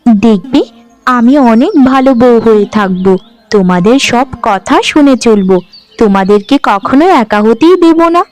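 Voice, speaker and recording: A woman voicing a gentle, dutiful young new bride in a cartoon, speaking meekly and earnestly. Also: on the high side, lively intonation, normal speed, close to an everyday voice. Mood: pleading. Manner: meek, earnest, conciliatory. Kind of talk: Bengali cartoon dialogue acting.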